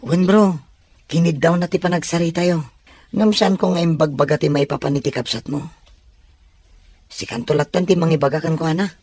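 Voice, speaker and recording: An actor with a Filipino accent playing a loyal, courteous young best friend in an audio drama, his voice slightly husky. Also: on the high side, fairly even intonation, fairly quick, long pauses, a little mellow. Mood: encouraging.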